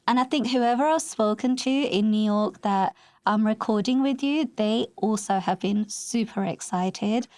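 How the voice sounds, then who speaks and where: A woman's voice: medium pitch, a little slow, a little mellow, no room sound. A woman hosting a podcast interview, talking in an enthusiastic, effusive way. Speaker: podcast host. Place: recording in New York.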